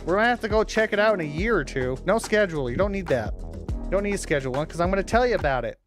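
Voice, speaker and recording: A man's voice: sits high, expressive, quick pace, over music. A man livestreamer talking casually to his chat, informal and teasing, with some swearing. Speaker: livestreamer hosting a watch-along.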